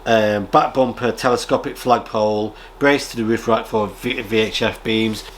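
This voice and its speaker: A British man chatting casually as a radio hobby vlogger, rambling in a halting way and often clearing his throat. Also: fairly low pitch, fairly even intonation, a little hiss or hum. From United Kingdom. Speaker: amateur radio vlogger and presenter. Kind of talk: casual rambling chat, reading out viewer comments.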